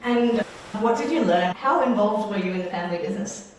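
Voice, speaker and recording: A woman hosting a startup show, reading a measured scripted intro and sponsor lines, then asking interview questions. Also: mid-range voice, low-quality recording. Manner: measured, reads sponsor copy. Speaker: podcast host.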